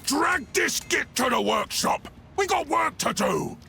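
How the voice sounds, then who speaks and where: A man voicing a belligerent, bullying ork warboss in a video game, gruff, gravelly and shouting. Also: extremely high pitch, very animated, unhurried, soft background music, heavily acted. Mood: bossy. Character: loud, belligerent male ork warboss pilot, greedy and bullying. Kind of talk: video game character acting.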